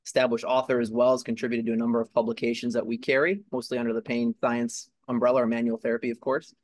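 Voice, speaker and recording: A man's voice: medium pitch, little pitch movement, fast talker, no room sound. Eager young-sounding male interview host. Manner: eager, casual, many fillers. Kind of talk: upbeat interview hosting.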